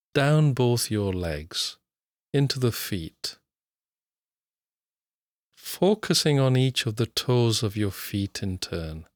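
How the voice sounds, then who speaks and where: Male mindfulness teacher, lowish voice, dry close sound; calm, slow guided meditation delivery. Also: no room sound. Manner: slow, calm, soothing, with long pauses. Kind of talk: guided body scan meditation.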